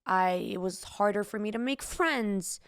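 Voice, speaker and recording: A woman's voice: medium pitch, moderate pace, smooth, no echo, clean studio sound. A young woman from Israel, a singer and guest, chatting casually with lots of "like" and restarts. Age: young adult. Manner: chatty, uses "like" a lot, restarts sentences. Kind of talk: casual interview chat.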